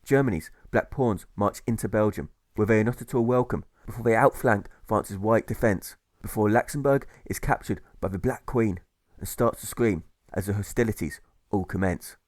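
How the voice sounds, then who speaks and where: A man reading a scripted rhyming audiobook in rhythmic, measured phrases, landing on each rhyme. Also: lowish voice, darkish tone, no echo, studio quality. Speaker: author reading own audiobook.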